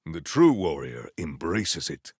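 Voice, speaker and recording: Proud warrior for a video game, super formal and grand, swoops up and down a lot, dry close sound. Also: male voice, a bass voice, big pitch swings, breathy edge, dry recording, exaggerated voice. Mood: formal, earnest. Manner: grandiose, stiffly formal, earnest, deliberate. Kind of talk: video game character voice lines.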